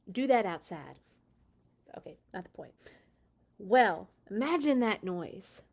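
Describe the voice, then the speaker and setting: A woman hosting a children's read-along, reading a storybook expressively with chatty, playful asides and dramatic emphasis. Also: medium pitch.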